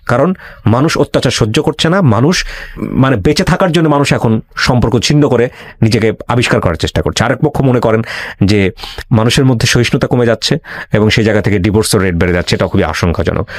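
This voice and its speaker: A man's voice: mid-range voice, dry recording. A middle-aged man with a Bangladeshi accent hosting an earnest advisory talk, speaking emphatically, repeating phrases and dropping in English words. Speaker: talk show host. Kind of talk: earnest advisory monologue and interview.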